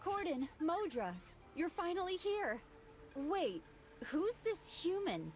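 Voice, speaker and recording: An actress playing a young, wounded beastfolk girl in a video game, speaking softly and strained. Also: very high voice, big pitch swings, slow pace, faint music behind, exaggerated voice. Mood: wary.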